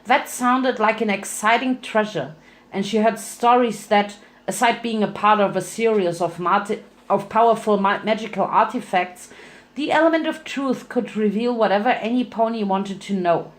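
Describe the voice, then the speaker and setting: A woman's voice: medium pitch, normal speed. A woman giving a playful, theatrical dramatic reading of a fan fiction story as its narrator.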